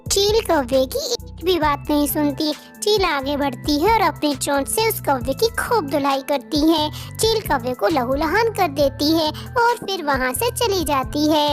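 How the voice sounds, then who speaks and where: A woman narrates a children's cartoon tale in a high-pitched voice. The delivery is scripted storytelling. Music plays behind the voice. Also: animated, unhurried, hardly any pauses.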